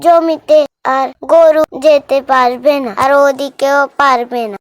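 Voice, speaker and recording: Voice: high-pitched, steady tone, slow, no room sound. A performer voicing a mischievous, stubborn village boy in a cartoon, chatty and self-assured as he explains things simply. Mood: musing. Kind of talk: cartoon voice acting. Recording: music behind the voice.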